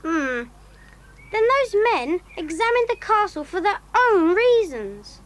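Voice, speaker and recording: Very high-voiced, eager child adventurer. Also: female voice, very animated, takes it slowly, a bit bright, exaggerated voice. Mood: puzzled, curious. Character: eager, friendly child adventurer who owns a dog. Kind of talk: children's audio drama acting. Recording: clear background noise with birdsong.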